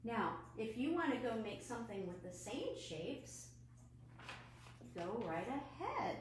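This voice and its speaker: A woman with a highish voice leads storytime for children at an unhurried pace. She is animated and keeps asking her young viewers questions. The room she speaks in is noticeably echoey. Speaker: children's librarian hosting an online storytime. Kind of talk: children's storytime read-aloud and craft demonstration. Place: at home, indoors. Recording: roomy echo, faint background noise.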